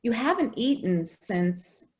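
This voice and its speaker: A woman playing an affectionate, fretful caretaker of a young child in a radio drama, expressive and coaxing. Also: on the high side, takes it slowly. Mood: gently coaxing.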